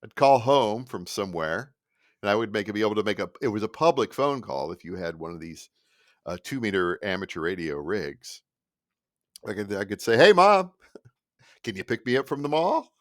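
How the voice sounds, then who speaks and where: Midwest guy ranting on his own podcast, lowish voice, keeps cutting himself off, sarcastic, dry sound. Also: normal speed, no room sound. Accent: Midwestern American. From American Midwest. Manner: rambling, animated, self-interrupting, sarcastic. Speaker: podcast host. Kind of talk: solo rant.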